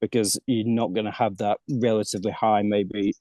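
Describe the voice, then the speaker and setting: A man's voice: lowish voice, little pitch movement, normal speed, dark tone, no echo. A man from the UK, a golf coach living abroad, chatting as an interview guest and often restarting his sentences. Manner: chatty, many restarts and repeated words. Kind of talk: casual interview chat.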